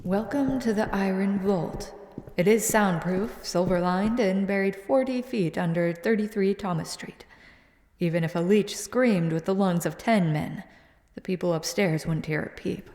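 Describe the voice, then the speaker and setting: A woman voicing a hardened female vampire hunter who bosses a rookie around in an audio roleplay, speaking in a low, commanding, teasing way that often sinks to a hush. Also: a little slow. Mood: grim, instructive. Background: faint ambient soundscape.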